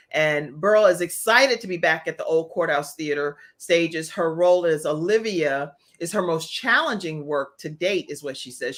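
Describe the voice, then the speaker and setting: A woman's voice: low voice, fairly bright tone. An American woman hosting a live online talk show, chatting in an effusive, encouraging way and addressing viewers by name.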